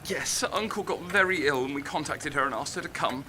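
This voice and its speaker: A man's voice: on the high side, a little fast, pushed and bright, a little hiss or hum. A young man playing an anguished, lovesick young writer in a naturalistic audio drama, pleading and emotional as he repeats himself. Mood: subdued, anxious. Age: young adult.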